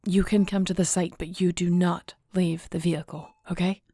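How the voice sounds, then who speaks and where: Woman playing a low, mellow, protective wolf-shifter. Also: little pitch movement, moderate pace, no room sound. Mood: stern, protective. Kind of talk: intimate audio roleplay drama acting.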